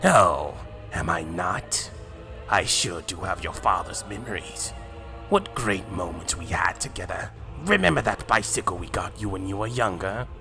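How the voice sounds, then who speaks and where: A man's voice: low-pitched, expressive, vocal fry, heavily acted. A man playing a sinister, gloating villain who mocks and menaces in a fan-made anime drama, with music behind. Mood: mocking. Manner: mocking, slow, menacing, chuckling. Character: gloating sinister villain possessing a father, cruelly taunting. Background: loud music.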